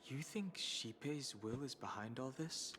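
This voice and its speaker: A man's voice: mid-range voice, natural voice. Man voicing a reserved, analytical game character. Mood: wary, serious. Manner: measured, precise, reserved. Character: calm, analytical, formal male outsider. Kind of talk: video game voice acting. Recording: loud music behind.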